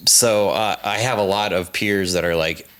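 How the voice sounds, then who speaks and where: A man in his forties with a low voice, talking chattily on a panel with lots of "like". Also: moderate pace, fairly bright tone. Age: 40s. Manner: chatty, filler-heavy with frequent "like". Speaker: panel guest. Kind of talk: casual panel discussion.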